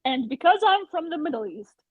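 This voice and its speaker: A woman's voice: sits high, brisk, dry recording. Woman from Iraq on a tinny call. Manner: animated, frustrated, loud with many fillers. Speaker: podcast guest. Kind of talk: casual conversation. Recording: tinny phone-like line, dry.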